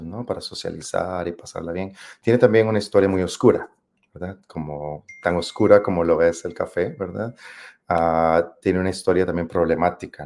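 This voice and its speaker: A man's voice: deep voice, a little mellow. A man, a professor and show guest, explaining thoughtfully with many tag questions. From Costa Rica. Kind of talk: conversational explanation.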